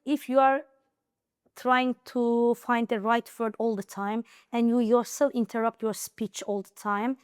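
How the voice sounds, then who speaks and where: Young woman guest with an Azerbaijani accent, earnest and advising, smooth resonant voice, fairly high. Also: little pitch movement, measured pace, no room sound, studio quality. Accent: Azerbaijani, Nakhchivan. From Azerbaijan, Nakhchivan region. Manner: earnest and advising, with many fillers and restarts. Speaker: interview guest, IELTS high scorer. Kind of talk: casual interview conversation.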